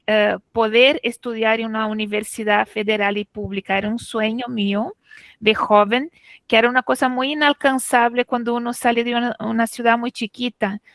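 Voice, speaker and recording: A woman's voice: a little high, fairly bright tone, dry recording. A middle-aged woman with a Chilean Spanish accent giving an informal academic talk, conversational and checking in with her listeners. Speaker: guest speaker, literature researcher.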